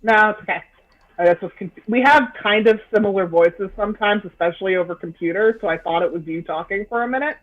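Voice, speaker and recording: A man's voice: sits high, fast, phone-quality sound. A man in his twenties, a native Scots speaker, chatting casually and jokily as co-host of a group livestream on a video call.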